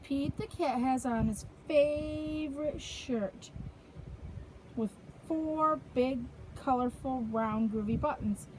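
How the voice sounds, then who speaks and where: A woman reading a picture book aloud to small children in an expressive, sing-song teacher's manner, pausing to ask simple counting questions. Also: high-pitched, a little hiss or hum, rough recording.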